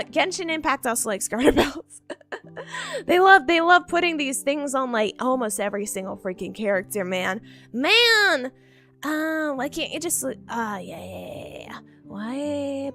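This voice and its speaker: Woman streamer, bright high voice bouncing all over, giggly groggy chat, soft music under it. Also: lively intonation, unhurried, vocal fry. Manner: playful, giggly, repeats herself a lot. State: just woken up, groggy. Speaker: VTuber streamer. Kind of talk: casual chat stream talking to viewers. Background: faint background music.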